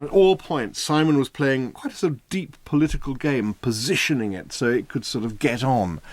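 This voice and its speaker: A man's voice: medium pitch, moderate pace, no echo. A man reminiscing anecdotally in an interview.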